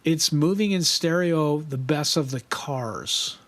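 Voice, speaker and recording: High-voiced man, chatty and animated. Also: slow pace, no echo. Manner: animated, laughs often, trails off and restarts. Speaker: podcast co-host. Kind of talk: casual chat.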